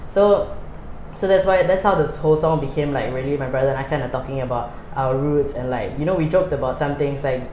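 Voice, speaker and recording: Fast-talking Singaporean woman, low voice. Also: dark tone, slight background noise. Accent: Singaporean English. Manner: chatty, laughs often, many fillers. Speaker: interview guest, rapper. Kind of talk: casual interview chat. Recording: roomy with faint ambience.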